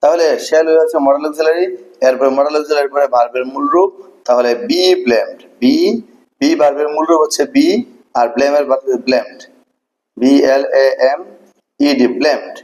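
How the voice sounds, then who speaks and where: A man with a slightly high voice gives an instructional grammar lesson. He explains things step by step, repeating key terms and spelling words out as a teacher would. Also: normal speed, darkish tone, poor audio. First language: Bengali.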